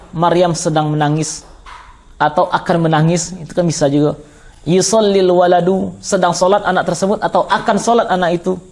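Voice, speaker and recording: Male religious-school teacher, Minangkabau Indonesian accent, high voice, didactic question-and-answer delivery. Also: darkish tone. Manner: didactic, repeats and quizzes listeners. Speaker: Islamic teacher of Arabic grammar. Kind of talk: classroom lesson, explaining with questions to students.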